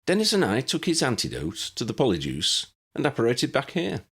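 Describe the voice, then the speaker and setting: A man acts out a confident young witch in an audiobook. The voice is brisk and pushed well up in pitch, very animated in its rise and fall. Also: slightly rough, heavily acted. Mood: brisk, explaining.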